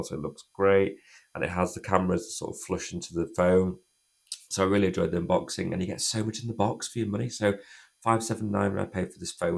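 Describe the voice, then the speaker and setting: A man reviews a phone while nursing a cold, his voice croaky and gravelly. He talks fast and casually in a very low, flat tone, often restarting his sentences. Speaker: tech reviewer.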